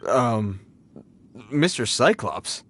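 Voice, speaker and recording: A man's voice: medium pitch, sing-song, drawn-out words, a bit bright, slight background noise, exaggerated voice. An actor playing a dutiful, awkward male guard on patrol in a video game, formal and earnest. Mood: flustered, resigned. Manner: formal, earnest, hesitant when flustered.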